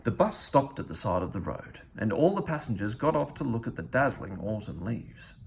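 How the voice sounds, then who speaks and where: A man reading a children's storybook aloud in an expressive narrating style. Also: on the low side, normal speed, vocal fry, a little hiss or hum. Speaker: storybook reader.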